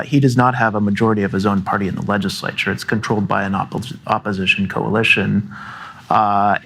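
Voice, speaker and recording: A man answering as a panelist at a policy event, brisk and analytical, with frequent "uh" fillers. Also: fairly low pitch, creaky, a bit bright, breathy edge. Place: event hall before an audience.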